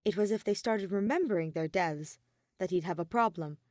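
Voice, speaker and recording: A woman's voice: on the low side, fairly quick, darkish tone, no room sound, clean studio sound. A woman reading scripted fiction aloud as an audiobook narrator.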